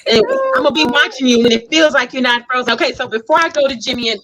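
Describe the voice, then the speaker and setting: A woman's voice: sits high, quick pace, noisy background. A woman hosting a casual group interview in a playful, teasing, chatty way. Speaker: talk show host.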